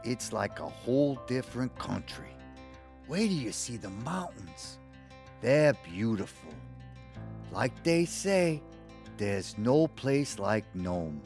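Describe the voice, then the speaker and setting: An actor playing an easygoing, down-to-earth, smitten suitor who jokes gently in an audio play. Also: male voice, medium pitch, very animated, slow pace, faint music behind. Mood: tender, smitten. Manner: folksy, teasing. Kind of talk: audio theatre acting.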